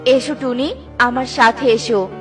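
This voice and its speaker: A kind yet commanding fairy from a children's cartoon, acted by a woman. She speaks with calm authority in a pitch lower than the narration, over light music. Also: unhurried. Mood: kind, instructing. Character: kindly but firm female fairy with magic powers.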